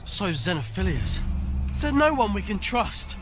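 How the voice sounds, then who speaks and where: A man's voice: sits high, sing-song, moderate pace, music in the background. An actor playing a worried, hot-headed, loyal teenage boy wizard in a video game, agitated and pleading.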